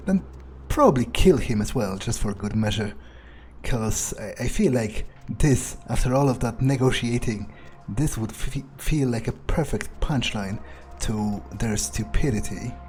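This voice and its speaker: A man playing a cold, smug vampire in a video game playthrough, musing aloud in a deliberate, sardonic way. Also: mid-range voice, a little mellow, slight background noise, close to an everyday voice. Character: cold, smug, ruthless male vampire on the prowl. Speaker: gamer role-playing in character. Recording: faint music behind the voice.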